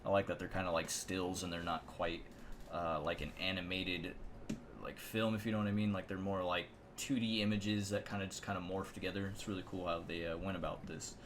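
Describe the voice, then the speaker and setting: A man's voice: low-pitched, level tone, fairly quick, noisy background. A man hosting a video game channel, rambling offhand through casual reaction commentary.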